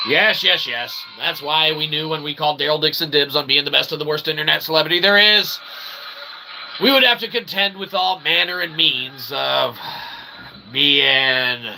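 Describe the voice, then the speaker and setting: A man hosting a livestream with a rambling, comedic philosophical monologue, speaking in theatrical, mock-formal phrases with frequent check-in tags. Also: fairly high pitch. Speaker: livestream host and self-published writer. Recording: clearly noisy recording with background ambience.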